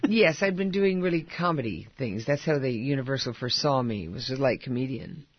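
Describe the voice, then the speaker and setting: An older actress with a husky voice, chatting and telling stories in an interview with frequent laughs. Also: female voice, extremely low pitch, expressive, a little fast, highs cut off. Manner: chatty, laughs often, warm storytelling. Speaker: actress guest. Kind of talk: casual interview chat.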